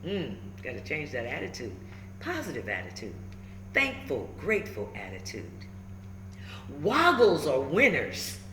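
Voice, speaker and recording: A woman reading a children's story aloud in an expressive way, pausing to check in with her listeners. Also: sits low. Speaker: storybook reader. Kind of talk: animated children's read-aloud with asides to listeners. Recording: roomy echo with faint background noise.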